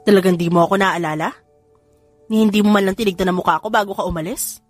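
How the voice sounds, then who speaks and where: A woman's voice: mid-range voice, fast, no echo, lightly acted. A woman voicing an irritable young male hacker in an audio drama, blunt and defensive, over music. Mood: offended, incredulous. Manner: indignant, blunt, mixing Tagalog and English.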